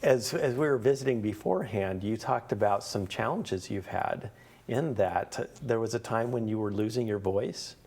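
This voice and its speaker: Gravelly, husky North American male host. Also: lowish voice, normal speed, a little mellow. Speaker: talk show host. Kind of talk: conversational faith interview.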